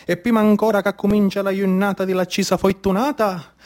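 A Sicilian man delivers a theatrical monologue in a strikingly high-pitched voice. He tells it like an incantation, in rhythmic, repeating phrases. His accent and dialect are strongly Sicilian. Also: flat delivery, brisk, a little mellow. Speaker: theatre storyteller and actor.

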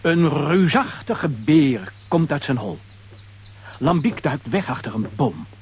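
A man narrating a children's radio play as a dramatic, animated storyteller. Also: on the high side, takes it slowly, slight background noise.

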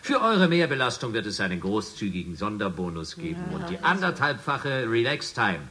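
A brisk space shuttle commander, forceful and very lively, formal radio play announcements. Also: male voice, lowish voice. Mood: encouraging. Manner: military-style announcements, formal and emphatic. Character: brisk, encouraging male commander of an orbital shuttle. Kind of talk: German science fiction radio play acting.

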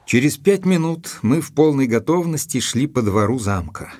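An actor playing a composed gentleman narrating his own adventure in a measured way for an audio drama. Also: mid-range voice, expressive, close to an everyday voice. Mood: measured suspense. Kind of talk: scripted first-person audio drama narration.

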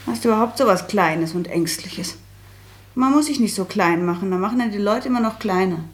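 An actress playing a self-assured, practical daughter in a German radio drama, speaking in a brisk, matter-of-fact, managing way. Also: female voice, mid-range voice, close to an everyday voice. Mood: firm, dismissive.

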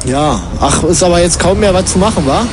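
A man's voice: sits high, a little fast, noisy background, close to an everyday voice. A man playing a scatterbrained, boastful traveller in a comic radio play, with a Berlin accent and a rambling, repetitive, colloquial way of talking. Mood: proud, sheepish. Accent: Berlin German. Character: scatterbrained, boastful male traveller proud of forgetting things.

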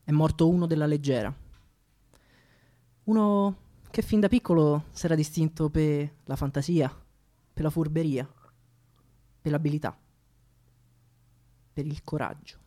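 A woman playing an impassioned Roman thief with a Roman accent, delivering a theatrical mock funeral oration in a declamatory, rhetorical way. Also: sits low. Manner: declamatory, rhetorical, swinging from hushed to shouted. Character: impassioned Roman thief eulogizing a dead comrade.

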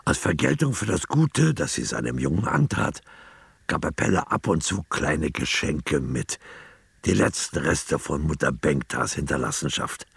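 An older male narrator reads literary prose for a radio drama. His voice is extremely low, creaky and husky, yet moves expressively through each sentence, with soft music faintly behind. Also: expressive, measured pace, no room sound, studio quality. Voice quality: gravelly, husky.

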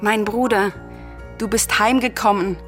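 Casting: actress for defiant, grief-stricken princess of Greek tragedy, solemn verse delivery, intensely emotional, music bed. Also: female voice, medium pitch, steady tone, a little slow, fairly bright tone, lightly acted. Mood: rapturous. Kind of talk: solemn radio drama acting of verse tragedy.

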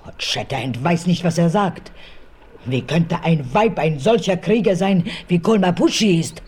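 An actor playing a proud, solemn native warrior who talks of himself in the third person, in a radio play. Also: male voice, fairly high pitch, animated, unhurried, darkish tone, breathy edge. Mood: indignant. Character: proud disguised native warrior, secret mother. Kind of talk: 1970s radio play acting.

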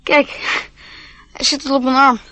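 A woman's voice: sits high, level tone, measured pace, bright, forceful tone, slight background noise. An actress playing a lonely, dreamy, earnest young boy in a radio play.

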